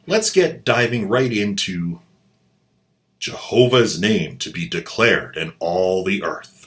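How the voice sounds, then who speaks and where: Low-voiced man, sarcastic host roasting an old drama. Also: animated, slow pace. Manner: sardonic, mocking, playful asides. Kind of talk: sarcastic commentary.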